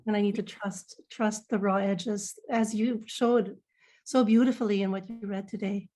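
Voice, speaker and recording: A woman poet and editor speaking in a thoughtful panel discussion, reflective and pausing mid-phrase. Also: mid-range voice, steady tone, warm tone. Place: remote video call.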